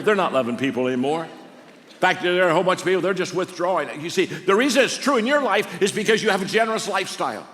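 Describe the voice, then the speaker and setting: An older man preaching a sermon, telling stories in a warm, conversational way and often restarting his sentences. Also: high voice. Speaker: pastor. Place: large church auditorium. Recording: long reverb of a large hall.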